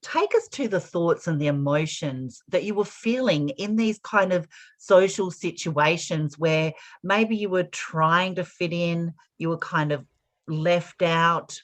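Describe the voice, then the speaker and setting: A woman's voice: fairly low pitch, a little slow. A woman hosting a podcast interview, asking encouraging, curious questions. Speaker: podcast host.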